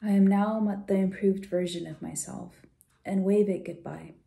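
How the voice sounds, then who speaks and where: A woman leading a guided visualization in a soft, gentle, soothing way, unhurried with pauses and at times breathy. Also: mid-range voice, steady tone. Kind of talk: guided meditation, soft slow instruction.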